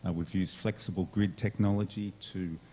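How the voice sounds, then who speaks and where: A man from Sydney with an Australian accent, giving a relaxed conference presentation full of fillers. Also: deep voice, moderate pace, a little hiss or hum. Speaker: conference speaker.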